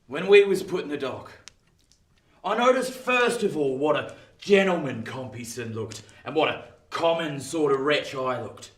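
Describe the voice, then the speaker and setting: An actor playing a rough old ex-convict in a radio play, with a working-class English accent, telling his past in an emphatic, colloquial way. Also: a little high, expressive, moderate pace, lightly acted. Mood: gruff reminiscing. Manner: colloquial, emphatic storytelling that swings into indignation. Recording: roomy, distant from the mic.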